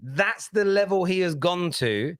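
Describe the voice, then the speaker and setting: A man's voice: high voice, lively intonation, a little slow, a bit bright, dry recording. A man presenting a football panel show in a studio, talking casually and insistently as he holds the floor.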